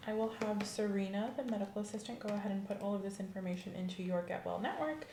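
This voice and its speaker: A woman's voice: mid-range voice, fast, warm tone, echoey room, slight background noise. A woman doing an ASMR medical exam roleplay as an examiner, methodical and professional in the way she questions a patient.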